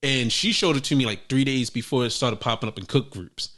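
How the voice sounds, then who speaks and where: A man co-hosting a casual sneaker podcast, talking in a profane, animated way that jumps up loud when he jokes. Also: medium pitch, a little fast. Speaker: podcast co-host. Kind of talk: casual chat.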